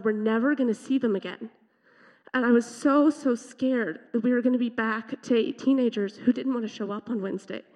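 Earnest woman in a reverberant church. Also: fairly high pitch. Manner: earnest, emotional, loud voice. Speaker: youth leader sharing testimony. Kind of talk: church testimony talk. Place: church sanctuary. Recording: dull sound with long hall reverb.

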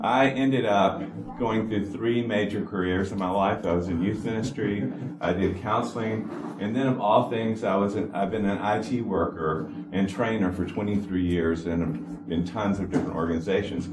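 A man, giving an informal talk to a church congregation in a flat, even-toned delivery. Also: fairly low pitch, normal speed. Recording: echoey hall from far off with noise.

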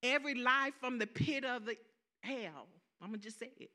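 A woman's voice: a little high, normal speed, sharp tone. A woman with a Southern accent preaching a sermon, emphatic, repeating key phrases and calling out for amens.

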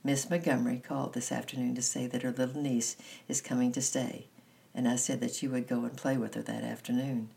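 A woman's voice: extremely low pitch, flat delivery, brisk, gravelly, dark tone, full character voice. A woman reader voicing a strict, cool aunt in a children's storybook, with a stern, authoritative manner. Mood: firm.

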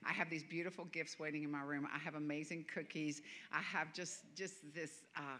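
A woman's voice: fairly low pitch, sharp tone, slight background noise. A woman from the American South with a Southern accent, preaching as a guest minister in a church, chatty and self-deprecating with frequent laughter. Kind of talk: sermon. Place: church sanctuary.

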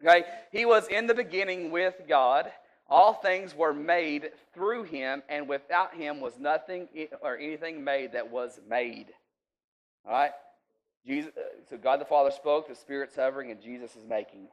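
A man's voice: a little high, normal speed, dull audio. A man preaching an informal sermon in a folksy, conversational way, checking in with his listeners often. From rural US.

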